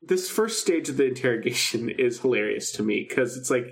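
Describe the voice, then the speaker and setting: A man's voice: mid-range voice, expressive, a little fast, vocal fry. A man co-hosting a podcast, chatting casually and enthusiastically like a fan reviewing a show. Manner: enthusiastic fan, acts out lines in asides. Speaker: podcast co-host. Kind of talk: casual chat reviewing a radio drama.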